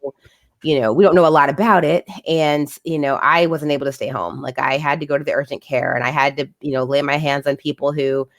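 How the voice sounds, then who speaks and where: A woman, a physician assistant and children's author, talking reflectively in a casual interview with many pauses and fillers. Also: sits low, dry recording.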